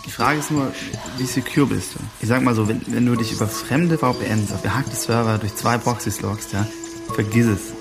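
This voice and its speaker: A man's voice: medium pitch, steady tone, moderate pace, creaky. A man talking in a cocky, casual, slangy way in an interview. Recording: music under the voice.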